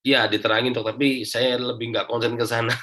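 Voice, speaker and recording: A man's voice: mid-range voice, flat delivery, a little fast, bright, forceful tone. A man with an Indonesian accent, an interview guest giving a casual personal account step by step.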